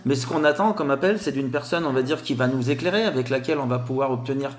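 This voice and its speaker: A man with a slight Grenoble accent speaks in a lively, sing-song manner as a podcast guest. His voice sits at an ordinary pitch. The room adds a clear echo around him. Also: fast talker. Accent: Grenoble French. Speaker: guest, telemarketing expert. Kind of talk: podcast interview.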